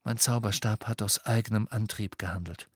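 A man's voice: lowish voice, normal speed, slightly rough, no echo, studio quality. A man reading a tense, troubled teenage boy. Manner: tense, flat. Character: tormented teenage boy wizard.

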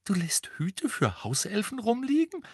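A man's voice: high-pitched, wildly swooping pitch, a little slow, a little mellow, breathy edge, dry recording, clean studio sound, voice pushed up, full character voice. A man voicing a lanky, sceptical teenage boy in an audiobook story, blunt and grumbling. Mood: incredulous.

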